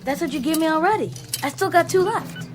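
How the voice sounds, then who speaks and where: A woman's voice: very high-pitched, lively intonation, a little fast, background noise. A woman playing a casual, easygoing kid absorbed in an arcade game in a radio drama, offhand and youthful.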